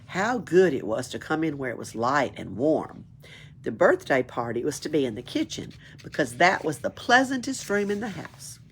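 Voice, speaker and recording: Low, lively, creaky woman storyteller. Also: moderate pace, slight background noise. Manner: expressive storytelling delivery. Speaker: library storyteller. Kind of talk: reading a children's book aloud.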